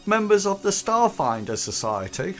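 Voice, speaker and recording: A man's voice: high voice, big pitch swings, moderate pace, fairly bright tone, faint music behind, pitched above the performer's own voice, full character voice. A man voicing a nervous, eager young male recruit who stammers constantly. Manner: stammering, hesitant.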